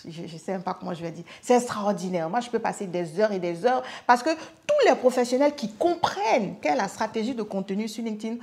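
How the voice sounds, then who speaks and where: A woman's voice: medium pitch, lively intonation, brisk, a little mellow. A woman podcast guest explaining in an enthusiastic, conversational way, with rhetorical questions and repeated words.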